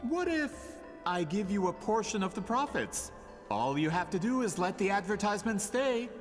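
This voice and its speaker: Pompous, high-pitched scheming merchant villain. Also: male voice, big pitch swings, moderate pace, soft background music. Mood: agitated, persuasive. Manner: pompous, smug, theatrical. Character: scheming, boastful young-sounding male merchant villain, bitter and grandiose. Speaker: voice actor in a video game. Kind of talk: theatrical game dialogue.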